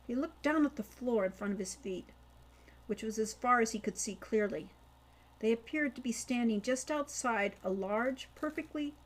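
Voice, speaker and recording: A woman reading scripted fiction aloud as an audiobook narrator. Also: a little high. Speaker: audiobook reader, author.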